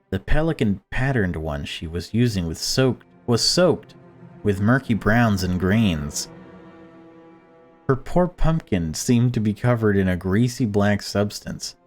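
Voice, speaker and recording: Guy doing a visual novel playthrough, lowish voice, reads narration and chats, music in back. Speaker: gaming video maker. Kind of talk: reading visual novel narration with casual commentary. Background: background music.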